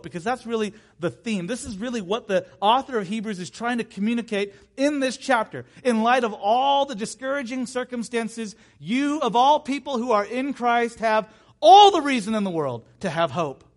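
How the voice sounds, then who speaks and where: A man in his thirties preaching a sermon in an emphatic way, repeating key phrases and slipping into conversational asides. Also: high-pitched. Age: 30s.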